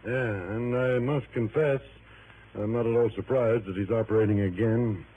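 An actor playing a cooperative, talkative male assistant warden in a vintage radio drama, earnest and deliberate. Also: mid-range voice, fairly even intonation, breathy edge, natural voice. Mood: earnest, emphatic. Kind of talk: 1950s-60s radio drama acting. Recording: noisy, tinny vintage radio recording.

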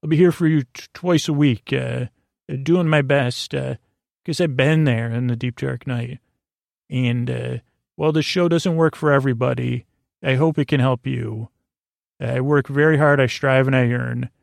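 A man with a creaky voice hosting a sleep podcast, rambling in a lulling, silly, meandering way with halting self-corrections. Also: medium pitch, steady tone, dry recording, studio quality. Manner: lulling, soothing. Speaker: podcast host.

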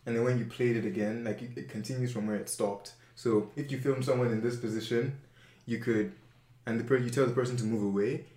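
A man's voice: medium pitch, steady tone, fairly quick, warm tone, roomy sound. A young man, chatting casually as an interview guest, full of fillers and self-corrections. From Nigeria.

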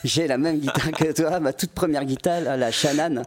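A man in his forties from the Paris region, chatting casually as an interview guest, full of restarts and fillers and quick to laugh. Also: a little high. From Paris region, France. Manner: hesitant, many restarts and fillers, laughs often. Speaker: metal singer, guest.

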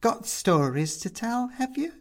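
A man's voice: very high-pitched, big pitch swings, unhurried, voice pushed up, exaggerated voice. A man voicing a blunt little china lady in a storybook, with a curt manner. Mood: perking up.

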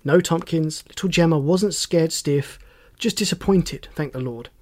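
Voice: mid-range voice, unhurried, a little mellow, close to an everyday voice. A narrator voicing a commanding, wry male police inspector in an audiobook, folksy and teasing with pointed questions. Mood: folksy, explaining.

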